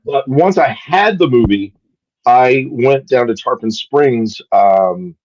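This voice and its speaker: A man from Texas telling upbeat stories as an actor guest. His voice sits at an ordinary middle pitch, and the sound is dry and a little dull, as if over a remote mic. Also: animated, slow pace, a little mellow, no echo. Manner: upbeat anecdotal storytelling. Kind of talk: casual interview chat.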